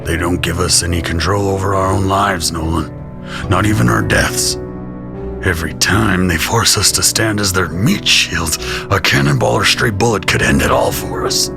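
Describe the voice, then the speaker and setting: A man's voice: deep voice, moderate pace, creaky, over music. Man as a desperate, determined young conscript soldier. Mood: urgent bitterness. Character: desperate, determined male conscript plotting escape.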